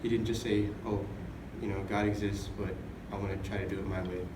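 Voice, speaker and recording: Distant, echoey low-voiced young man. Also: flat delivery, brisk. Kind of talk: earnest explanatory group conversation. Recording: distant mic in echoey noisy room.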